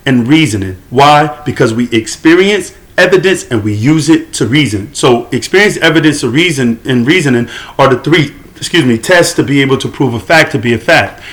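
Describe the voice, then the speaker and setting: Argumentative male commentator, mid-pitched, lectures alone to camera, presses point after point. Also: fairly bright tone. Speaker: religious commentator. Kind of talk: solo monologue to camera.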